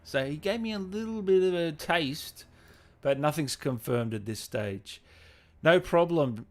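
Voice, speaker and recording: A man hosting a casual livestream, reading out viewer messages and thanking people with effusive, drawn-out, hyped shout-outs. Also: a little high, lively intonation. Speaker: livestream host and channel owner. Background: music playing behind the voice.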